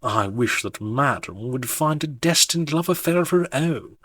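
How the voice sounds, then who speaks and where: A man voicing a dashing, melodramatic gentleman lover with a ringing, proclaiming voice. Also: medium pitch, lively intonation, a little slow, slightly rough, no echo, clean studio sound. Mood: grandly romantic.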